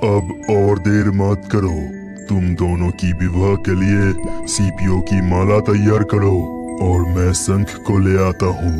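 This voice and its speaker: A man voicing a solemn, kindly water god in a cartoon, measured and formal. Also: sits low. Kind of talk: cartoon character acting. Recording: music behind the voice.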